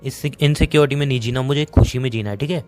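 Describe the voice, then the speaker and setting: A man's voice: medium pitch, darkish tone, soft background music, natural voice. A man with an Indian accent playing a sarcastic, dismissive young boyfriend in a phone-call romance drama. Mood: cold, dismissive. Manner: sarcastic, dismissive, rapid-fire. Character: bitter, sarcastic young boyfriend fed up with his girlfriend.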